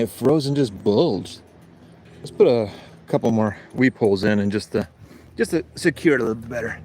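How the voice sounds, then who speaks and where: A man hosting a homestead livestream, sniffly from allergies, talking to himself and swearing casually while he works outdoors, picked up by a camera set up nearby. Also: mid-range voice. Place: on his homestead, working outdoors. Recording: noisy work-site recording.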